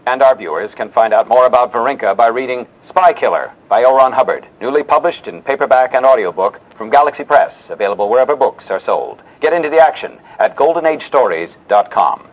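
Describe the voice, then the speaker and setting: A man's voice: medium pitch, lively intonation, natural voice, rough recording. A man playing a pompous, easily flustered host in a parody of a vintage television interview show, with a mock-dramatic delivery. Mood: grand, announcing. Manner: mock-dramatic announcer delivery, halting and hesitant when questioning.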